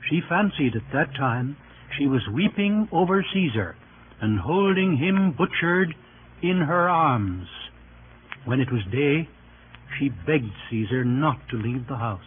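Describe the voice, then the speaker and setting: A man narrating Roman history for an old radio drama in a formal, deliberate manner with clipped phrases. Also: mid-range voice, expressive, lightly acted. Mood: grave, foreboding. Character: sober male chronicler narrating Roman history. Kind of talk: scripted narration for 1930s-40s radio drama. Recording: noisy, narrow-band old radio recording.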